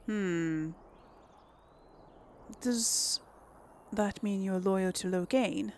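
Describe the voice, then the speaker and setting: Slow, creaky-voiced woman doing game commentary. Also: mid-range voice, slight background noise. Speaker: gaming video host. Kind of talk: casual let's play role-play commentary.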